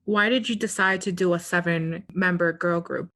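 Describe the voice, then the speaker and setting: A woman's voice: lowish voice, steady tone, moderate pace, a bit bright. A woman co-hosting a podcast, asking questions and guiding the segments. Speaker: podcast co-host.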